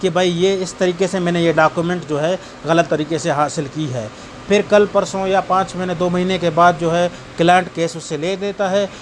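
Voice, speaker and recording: Male law tutor, Pakistani accent, fairly high voice, explanatory exam-prep delivery, slight noise behind him. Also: steady tone, normal speed. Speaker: law lecturer. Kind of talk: exam-prep lecture, reading out statutes and explaining them.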